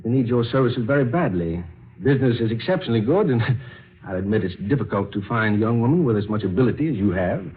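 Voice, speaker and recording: A man's voice: mid-range voice, expressive, quick pace, close to an everyday voice. An actor playing a courteous, fussy elderly businessman with formal, hesitant, old-fashioned speech in a 1940s radio drama. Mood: pleased, courteous. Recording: muffled, noisy old radio recording.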